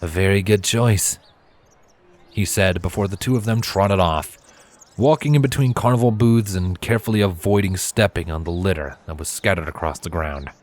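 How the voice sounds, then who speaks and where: A man's voice: deep voice, fairly quick, a little mellow. A man reading a story aloud as narrator, in scripted storybook narration. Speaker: narrator, fan fiction reader.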